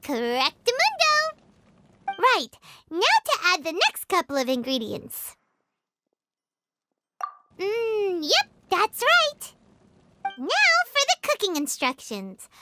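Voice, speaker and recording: A woman's voice: extremely high pitch, wildly swooping pitch, takes it slowly, pauses often, very noisy, heavily acted. A woman voicing a tiny, chirpy, childlike fairy girl companion in a video game, bubbly and chatty. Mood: chirpy, eager. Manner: chirpy, speaks of herself in third person. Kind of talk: animated video game character acting.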